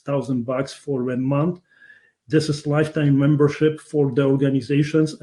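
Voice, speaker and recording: A man's voice: mid-range voice, warm tone. A man with a Polish accent, born in Poland, hosting an online webinar and persuading his audience, often checking in with them. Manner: persuasive, keeps asking the audience "right?". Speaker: webinar host.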